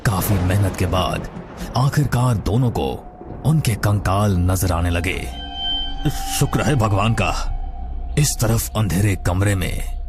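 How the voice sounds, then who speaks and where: A man narrating an animated horror story in a scripted storytelling style. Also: low voice, takes it slowly, music in the background.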